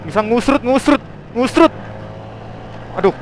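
Guy motovlogging, pretty high voice, slangy n excitable, bit of engine and wind noise. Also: measured pace. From Indonesia. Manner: excitable, exclamatory, interjection-filled. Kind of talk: casual riding vlog commentary, slangy. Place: outdoors, riding a motorbike on a forest mountain road.

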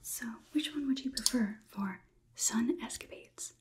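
A young woman playing a polite, curious, clinical robot overlord in a whispered ASMR roleplay, with measured, formal delivery. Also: on the high side, close to an everyday voice. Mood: curious, deliberate. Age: young adult. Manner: slow, measured, deliberate, formal wording. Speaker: ASMR performer.

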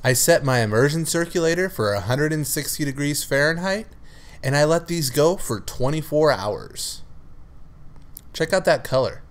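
A man hosting a cooking channel, narrating his recipe steps in a relaxed, matter-of-fact voice-over. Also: fairly high pitch, moderate pace. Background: loud music.

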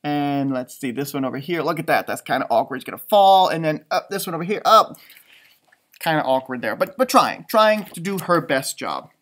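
Male read-aloud storyteller, 30s. Expressive, dramatic, widely swooping pitch for young listeners. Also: normal speed. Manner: expressive, dramatic delivery for children. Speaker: elementary teacher reading aloud. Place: indoor library room with bookshelves.

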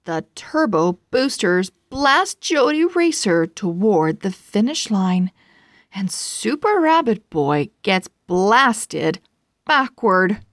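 A woman narrates a children's book at a very slow pace. Her phrasing is deliberate and measured, made for young readers. The recording is dry and close, and her pitch sits in a middle range. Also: very animated, fairly bright tone, dry recording. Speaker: children's book read-aloud host. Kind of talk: read-aloud story narration for children.

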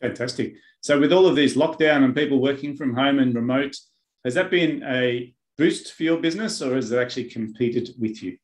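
A man's voice: mid-range voice, a little fast, low-quality recording. Male host, fifties, brief encouraging prompts, home office webcam. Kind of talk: interview host. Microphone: webcam on video call.

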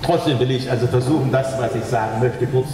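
A man's voice: mid-range voice, steady tone, a little fast, recorded from a distance, crowd noise. A middle-aged man, a native German speaker, gives a formal talk at a conference with frequent hesitations. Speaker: guest lecturer. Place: large conference hall. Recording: echoey hall from afar, noisy.

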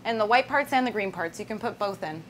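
A woman in her thirties talks through a recipe step by step at a medium pitch. She sounds conversational and casual, like the host of a live cooking class. Clear room noise and kitchen ambience sit behind her voice. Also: animated, fast talker. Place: indoor kitchen set with wooden worktop.

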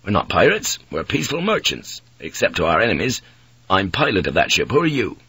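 A defiant, worn-out seafaring pilot, played by a male audiobook narrator. His voice is low and husky, guarded and probing, with a taunting edge. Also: wildly swooping pitch, fairly quick, bright, forceful tone, no echo, heavily acted. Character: weakened but defiant seafaring ship's pilot, a man.

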